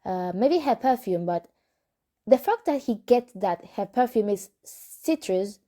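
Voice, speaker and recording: A young woman whose first language is Spanish, reading a novel aloud in English with a Spanish accent and stopping for casual asides, unhurried and correcting herself as she goes. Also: medium pitch, lively intonation, mellow. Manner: unhurried, hesitant, self-correcting. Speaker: amateur reader of a novel.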